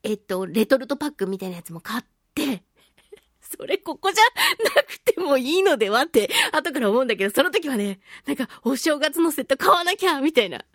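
Female radio host, high voice, highly animated delivery, casual playful storytelling. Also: a little fast, dry recording, studio quality. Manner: animated, chatty storyteller with playful self-mockery. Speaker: host of a talk radio show, voice actress. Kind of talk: casual monologue, telling a personal anecdote.

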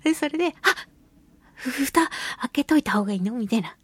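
Clear-voiced woman hosting a casual chat show. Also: on the high side, quick pace, dry recording. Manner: animated storytelling, often giggling, colloquial. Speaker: voice actress hosting a radio talk show. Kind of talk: casual solo radio chat.

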